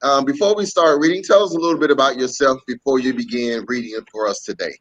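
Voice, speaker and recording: Male host for a casual online story time. Mid-range voice, repeats phrases, muffled low-fidelity stream sound. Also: fast, a bit bright. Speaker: host, pastor. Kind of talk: casual livestream hosting for children's story time. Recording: dull lo-fi webcam stream.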